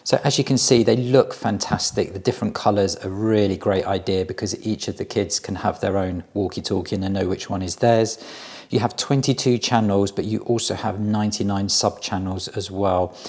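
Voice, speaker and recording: A man's voice: lowish voice, fairly even intonation, moderate pace, a little mellow, slightly rough. A man giving a casual hands-on product review, explaining each step in a plain-spoken way.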